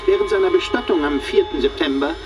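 An actor playing a formal chronicler who reports events like a documentary narrator in a radio drama. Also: male voice, fairly high pitch, fast, noisy background, lightly acted. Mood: formal, dramatic.

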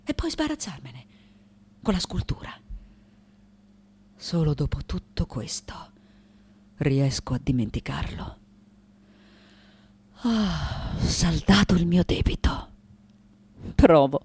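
An actress playing an aged, eccentric female sculptor in a theatrical radio monologue, intense and dramatic, with a breathy edge when hushed. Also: on the low side, sing-song, slow, long pauses, a little hiss or hum. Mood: resolute, brooding. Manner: intense, dramatic, shifting between whispers and emphatic declamation.

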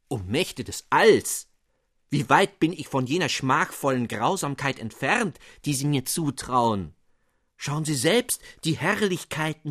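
A man's voice: medium pitch, fairly bright tone, no echo, clean studio sound. A man voicing a tiny, pompous gnome king who speaks in flowery, grandiloquent and fawning phrases in a storybook reading.

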